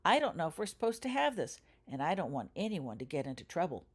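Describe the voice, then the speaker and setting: A practical young witch at school, voiced by a woman reading a storybook. She speaks briskly and gives instructions like someone quietly in charge and guarding a secret. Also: on the low side, big pitch swings, breathy edge, heavily acted. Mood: cautious, instructing.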